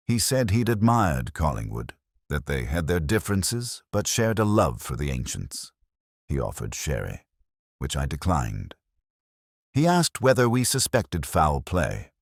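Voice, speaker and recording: A man narrating a detective mystery in the first person, in a measured, literary and wry manner. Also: a bass voice, no echo, clean studio sound.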